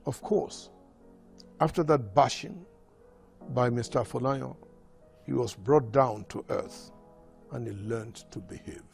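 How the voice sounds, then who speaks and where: An older man with a Nigerian accent reading his memoir aloud in a measured storytelling manner. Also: mid-range voice, gravelly, a little mellow, soft background music. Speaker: author reading from his autobiography.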